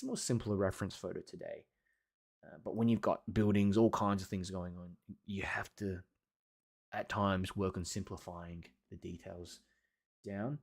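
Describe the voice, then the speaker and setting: Male live workshop host: lowish pitch, informal, encouraging, hesitant delivery with many pauses. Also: darkish tone. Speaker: watercolour teacher hosting a live workshop. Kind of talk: casual livestream chat reading and answering viewer comments.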